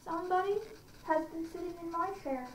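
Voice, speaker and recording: Woman as a shrill, tiny storybook bear. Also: brisk, a little hiss or hum. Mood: upset, indignant. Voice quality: small, sharp, shrill. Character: little small wee bear cub, upset, in a fairy tale. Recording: echoey room, distant mic.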